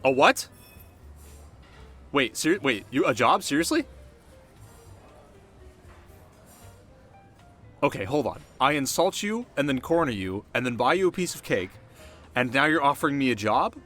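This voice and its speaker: A man acts out a cocky delinquent teenage boy from the rough side of town in an ASMR audio roleplay. He talks casually to the listener with wry, sarcastic banter at a medium male pitch. Music plays faintly behind him. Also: sing-song, normal speed, a bit bright. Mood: incredulous, nervous.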